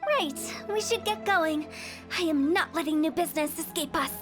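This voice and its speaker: Eccentric, very high-voiced young businesswoman. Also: moderate pace, over music. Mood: gleeful. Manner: breezy, theatrical, persuasive. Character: cheerful, eccentric young female funeral parlor director, salesy. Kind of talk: anime game voice acting.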